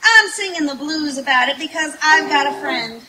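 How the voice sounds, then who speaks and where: A woman's voice: high-pitched, lively intonation, moderate pace, heavy background noise. An actress playing a wry, affectionate young woman in an old-time radio sitcom. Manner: wry, sardonic. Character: Jane, wisecracking, affectionate roommate, young woman. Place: outdoor stage in the woods.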